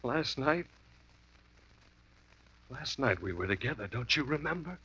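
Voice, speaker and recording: A man's voice: medium pitch, animated, unhurried, bright, forceful tone, slightly rough, full character voice. An actor playing a distraught, insistent man in an alcoholic ward in a 1950s radio drama. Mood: anguished. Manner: desperate, insistent. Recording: dull lo-fi 1950s radio broadcast.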